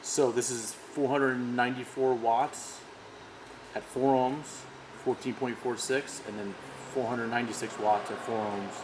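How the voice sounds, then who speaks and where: A man's voice: medium pitch, steady tone, unhurried, noisy background. A man giving an informal technical product demonstration. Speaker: product demonstrator for an audio brand.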